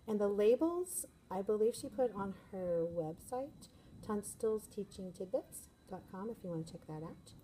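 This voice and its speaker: A woman teacher vlogging in a casual, upbeat, chatty way, her voice slightly hoarse. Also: mid-range voice, dark tone, a little hiss or hum, rough recording. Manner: chatty, upbeat, rambling with fillers. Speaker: first grade teacher, vlogger. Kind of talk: casual vlog chat to camera.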